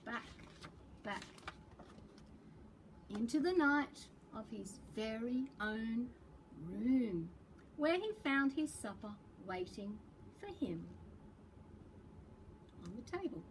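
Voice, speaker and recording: A woman reading a picture book aloud to young children as a school librarian, in a theatrical, deliberate manner. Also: on the high side, expressive, a little hiss or hum, low-quality recording. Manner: theatrical, deliberate, addresses small children directly.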